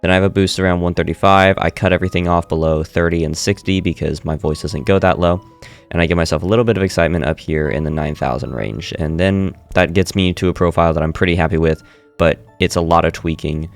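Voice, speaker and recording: A man's voice: low voice, fast, faint music behind. A man casually walking through his microphone settings in a screen-recorded tutorial, often correcting himself as he goes. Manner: relaxed, self-correcting explainer. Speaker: streamer demonstrating audio software. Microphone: studio dynamic mic through audio interface.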